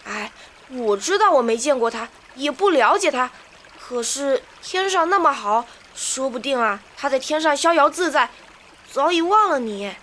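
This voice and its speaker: A woman's voice: high-pitched, animated, moderate pace, fairly bright tone, a little hiss or hum. An actress playing an anxious, loyal young female disciple in a costume fantasy drama, pleading and fretful. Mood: worried pleading.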